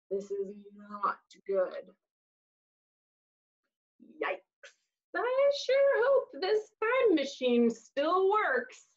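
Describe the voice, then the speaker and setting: A woman in her thirties reading a storybook aloud to young children with expressive, exaggerated delivery and dramatic pauses, in a small room. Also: very high-pitched. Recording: dull, lo-fi webcam audio.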